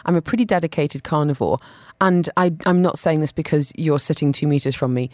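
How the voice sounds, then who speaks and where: A woman's voice: deep voice, brisk, a little mellow, no room sound. A woman presenting a radio food show and chatting in a studio with an effusive, emphatic way of talking. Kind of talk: radio presenting and interview chat.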